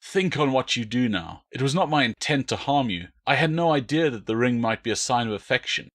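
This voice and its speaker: A man voicing a principled Roman soldier who stays even, firm and measured under threat in an audiobook. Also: medium pitch. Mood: calm, stern.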